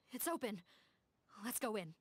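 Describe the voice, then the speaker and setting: Serious, highish-voiced police officer. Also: female voice, sing-song, slightly rough, exaggerated voice. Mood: urgent. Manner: authoritative, brisk, serious. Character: commanding female police officer, serious and determined. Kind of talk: video game voice acting. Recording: game music behind the voice.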